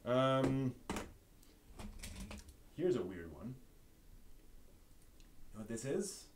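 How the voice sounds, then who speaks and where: A man's voice: medium pitch, sing-song, slow pace. A man hosting a casual live show-and-tell stream, rambling and thinking aloud as he handles things. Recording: noisy live stream audio.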